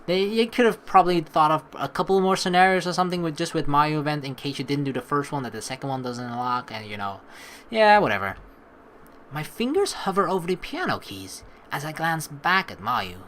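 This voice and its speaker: A man's voice: on the high side, fairly quick, a little hiss or hum. A young man giving chatty let's play commentary, reading game text aloud and reacting to it. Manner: animated, chatty, reacting as he reads.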